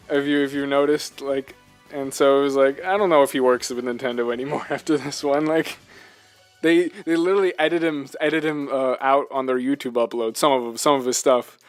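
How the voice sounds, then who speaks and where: A male podcast co-host chats casually with a fan's enthusiasm. His voice sits at a middling pitch and he leans hard on his points, with faint music underneath. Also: fairly even intonation, moderate pace, clean tone. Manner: enthusiastic, emphatic fan talk. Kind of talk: casual video game discussion.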